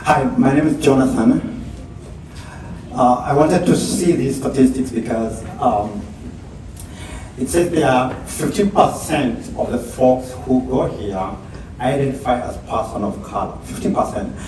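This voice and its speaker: A man's voice: medium pitch, lively intonation, measured pace, darkish tone. A middle-aged man from Kenya with a Kenyan accent, explaining his teaching informally on a handheld microphone at a networking event. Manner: explanatory, asks rhetorical "right?". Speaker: computer science professor. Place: large indoor event space. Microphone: handheld microphone, heard from far off. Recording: very echoey hall from far off, background noise.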